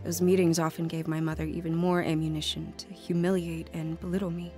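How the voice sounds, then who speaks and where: A woman's voice: on the low side, little pitch movement, warm tone, lightly acted. A woman playing a grown woman who reflectively narrates her lonely childhood in an audio drama. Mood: wistful, reflective. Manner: reflective, measured storytelling. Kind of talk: scripted first-person drama narration. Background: music under the voice.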